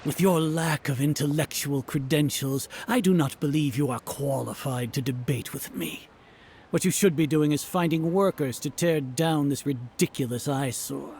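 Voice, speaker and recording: A man's voice: a little high, moderate pace, darkish tone, a little hiss or hum, natural voice. A man playing a pompous, cold, contemptuous scholarly official in a video game, speaking formally. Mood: disdainful. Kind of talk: video game character acting.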